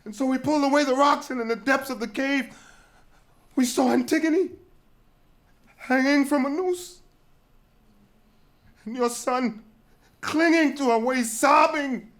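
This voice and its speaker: High-voiced, grave tragic messenger. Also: male voice, a little slow, pauses often, a bit bright. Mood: intense, harrowing. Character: grave, shaken male messenger bearing terrible news. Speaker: actor in a dramatic reading. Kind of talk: dramatic reading of Greek tragedy.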